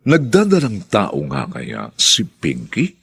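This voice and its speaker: A man's voice: fairly low pitch, wildly swooping pitch, slow pace, gravelly, a bit bright, breathy edge. A man with a Filipino accent giving dramatic scripted announcements for a radio drama.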